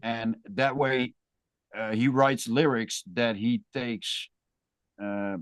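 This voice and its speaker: A man's voice: mid-range voice, steady tone, takes it slowly, smooth, no room sound, studio quality. A man with a Dutch accent, a guest musician talking casually and thoughtfully in an interview. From Netherlands. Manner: thoughtful, rambling with restarts.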